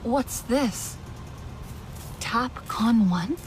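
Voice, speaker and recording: A woman's voice: a little high, expressive, very slow, slight background noise. A woman playing a young alien seeker in a video game, earnest, unsure and questioning. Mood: searching, earnest. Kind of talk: video game character acting.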